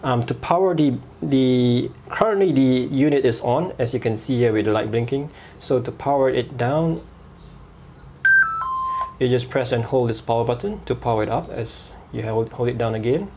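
A man reviewing a walkie-talkie at a desk, pausing often with fillers and restarts. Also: mid-range voice, very noisy. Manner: halting, many fillers and restarts. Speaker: gadget reviewer. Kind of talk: product review voice-over.

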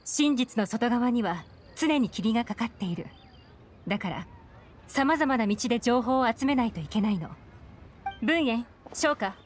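A woman's voice: fairly high pitch, animated, unhurried, slight background noise. A woman voicing a cool, commanding young female intelligence chief in a game, composed and self-assured. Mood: knowing, assured. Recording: background music under the voice.

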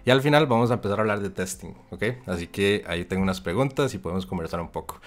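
Lower-voiced Rioplatense host. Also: male voice, fast talker. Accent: Rioplatense Spanish. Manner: relaxed, brief prompts and questions. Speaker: interview host. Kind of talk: casual interview chat.

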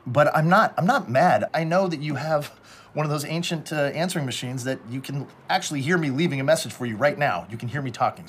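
A man's voice: medium pitch, breathy edge. An actor playing an anxious male doctor who pleads with his best friend, frantic at times and deflated at others. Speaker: hospital doctor. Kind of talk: TV drama acting.

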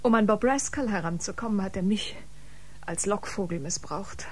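An actress playing an unhinged, jealous female killer in a science-fiction radio drama, theatrical and halting, lapsing into whispers. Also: medium pitch, breathy edge, natural voice. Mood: bitter, menacing. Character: unhinged, jealous woman bio-musician, confessed killer.

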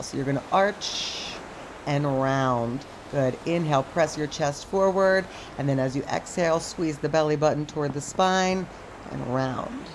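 Calm woman cueing stretches on a beach. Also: sits low. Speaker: stretch instructor. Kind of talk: guided stretching class. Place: sandy beach outdoors by the ocean. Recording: outdoor surf noise behind the voice. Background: ocean waves.

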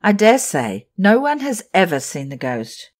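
Woman reader as a composed lead ballerina, firm, lively delivery. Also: mid-range voice, a little slow.

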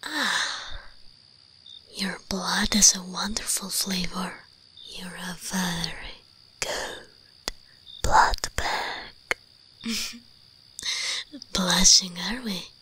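A woman's voice: lowish voice, fairly even intonation, poor audio. Whispering, imperious vampire queen played by a woman. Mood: teasing. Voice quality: breathy whisper. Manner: slow, commanding, condescending, seductive. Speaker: ASMR roleplay performer. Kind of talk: whispered ASMR roleplay.

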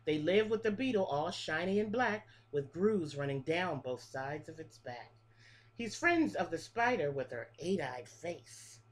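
A woman's voice: sits low, lively intonation, unhurried. A middle-aged woman reading a picture book aloud to preschool children in a sing-song rhythm, stopping to explain things, recorded close on a webcam in a small room. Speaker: pre-K teacher. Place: small room with a classroom wall display. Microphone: webcam at close range.

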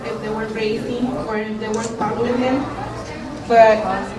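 Slow solemn woman, echoey and distant. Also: a little high, fairly even intonation, a crowd in the background. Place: crowded room.

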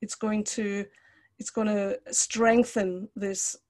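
A woman's voice: medium pitch, little pitch movement, slow pace, darkish tone. Older woman giving an online lecture. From Scotland. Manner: conversational, explanatory. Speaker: counselling lecturer. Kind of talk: online lecture with personal examples. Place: indoor room, video call.

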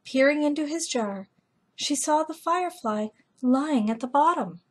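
A middle-aged woman hosting a storytime, reading a children's book aloud in a gentle, deliberate manner. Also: sits high, lively intonation. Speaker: storytime host. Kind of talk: children's read-aloud narration.

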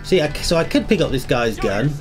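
Chatty croaky man commenting on gameplay. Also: mid-range voice, big pitch swings, brisk, music in the background. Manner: chatty, enthusiastic, rambling. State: slightly croaky, under the weather.

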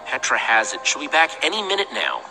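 A man's voice: mid-range voice, wildly swooping pitch, fast, pushed and bright, soft background music, exaggerated voice, rough recording. An actor playing an earnest, slightly unsure young male hero in a video game, speaking casually and a little hesitantly. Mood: stalling.

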